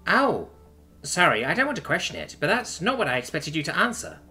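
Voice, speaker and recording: A man's voice: big pitch swings, a little fast, sharp tone, soft background music. A man voicing a small, sweet alien princess, nervous and devoted, with polite, earnest phrasing. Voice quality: high, chirpy. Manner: polite, earnest, formal wording.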